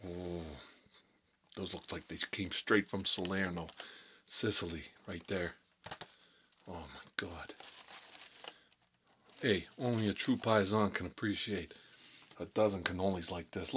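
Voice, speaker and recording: Man doing a low, gruff, chatty mob boss. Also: fairly bright tone, a little hiss or hum. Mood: savoring, admiring. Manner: rambling, halting, muttering, talking with food in his mouth. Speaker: ASMR role-play performer. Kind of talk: improvised ASMR character role-play, eating while talking.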